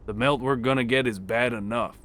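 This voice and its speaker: A man's voice: medium pitch, fairly even intonation, a little fast, fairly bright tone, a little hiss or hum, lightly acted. A man narrating an audiobook voices Classic, a blunt, sarcastic male mechanic.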